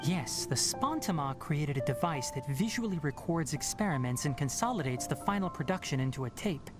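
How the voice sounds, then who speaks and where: A voice actor playing a calm, learned young male forest ranger who speaks in a measured, analytical way. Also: a little high, natural voice. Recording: game audio with loud music behind. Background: loud game music.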